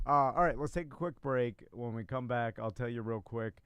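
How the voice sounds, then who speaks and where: Smooth-voiced man, dry and close, bantering casually. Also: mid-range voice, moderate pace, no room sound, dull audio. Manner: laughs readily, rambling. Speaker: talk show co-host.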